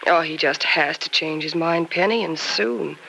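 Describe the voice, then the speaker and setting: An actress with an American accent playing a concerned, urgent friend in an old-time radio soap opera, speaking emphatically. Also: female voice, low-pitched, normal speed, creaky, pushed and bright, heavily acted, rough recording. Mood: urgent, persuasive. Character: concerned, urgent female friend giving firm advice. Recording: old radio broadcast with faint noise.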